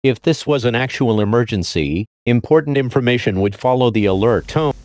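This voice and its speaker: A man performs as an emergency alert announcer in an audio drama. The delivery is measured, impersonal and grave, in a middle-pitched voice with a dry, studio-like sound. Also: expressive, a little mellow, dry recording, natural voice. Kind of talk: scripted emergency broadcast announcement.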